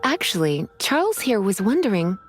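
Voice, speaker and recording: An actress playing a sultry, teasing librarian who talks in a languid, flirtatious way, with faint game music behind. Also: female voice, medium pitch, big pitch swings, normal speed, close to an everyday voice.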